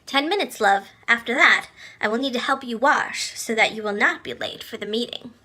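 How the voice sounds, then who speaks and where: A woman voicing a gently bossy, affectionate veiled caretaker in a storybook, with formal courtly phrasing. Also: on the high side, normal speed, pitched above the performer's own voice. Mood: kindly.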